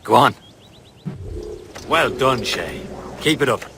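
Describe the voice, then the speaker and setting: Middle-pitched male, encouraging loyal comrade, friendly, game cutscene, faint ambient noise. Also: animated, slow, vocal fry, pushed and bright, exaggerated voice. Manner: friendly, supportive. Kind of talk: video game cutscene acting.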